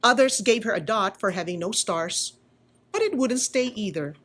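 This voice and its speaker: A woman reading a children's story aloud in a deliberate, expressive way, like a school storytime. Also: mid-range voice. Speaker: school principal reading aloud, religious sister. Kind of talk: scripted children's storybook narration.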